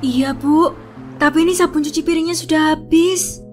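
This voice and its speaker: An overworked kitchen hand, as a woman puts her on, high-pitched and meek toward the boss, muttering complaints under her breath. A cartoon drama with faint music behind. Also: fairly even intonation, moderate pace, a little mellow, natural voice. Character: timid underpaid kitchen worker.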